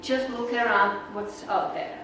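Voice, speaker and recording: Slow Czech-accented woman in echoey room. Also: fairly high pitch. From Czech Republic. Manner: chatty, rambling, with fillers and laughs. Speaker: presenter at a naturalist club. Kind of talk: casual slide talk. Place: meeting room. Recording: echoey room, voice from far off, noisy.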